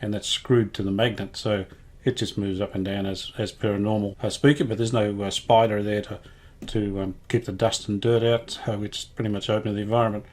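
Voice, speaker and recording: A man giving casual hands-on restoration commentary, thinking aloud in a tentative, unhurried way. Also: fairly low pitch, flat delivery, a little mellow. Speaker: radio restorer presenting a repair series.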